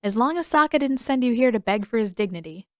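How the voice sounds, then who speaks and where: A woman's voice: fairly high pitch, lively intonation, fast talker, dry recording, studio quality, pitched above the performer's own voice, lightly acted. A woman voicing a stern, fierce teenage warrior girl, firm and terse, in a story reading. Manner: firm, terse, rule-bound.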